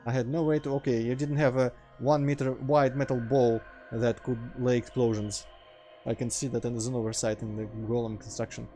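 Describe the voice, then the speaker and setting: A man's voice: mid-range voice, little pitch movement, fairly quick, dark tone, soft background music. Dry-humored Russian man narrating gameplay. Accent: mild Russian. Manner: dry, sarcastic asides. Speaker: gameplay commentator. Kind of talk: casual let's play commentary.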